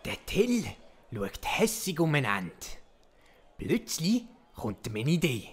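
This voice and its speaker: A narrator telling a comic audio play in a cheeky, lively way with a Swiss German accent. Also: mid-range voice, slow pace, breathy edge, heavily acted. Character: cheeky, lively storyteller of a mock legend.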